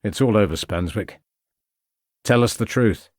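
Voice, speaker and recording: A man voicing a shrewd male police inspector who questions in a measured, pointed way. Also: on the low side, darkish tone, no room sound, studio quality, lightly acted. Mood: stern.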